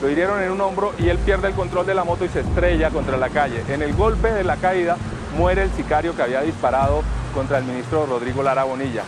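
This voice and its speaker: A man giving a measured, factual, documentary-style account. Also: a little high, noisy background.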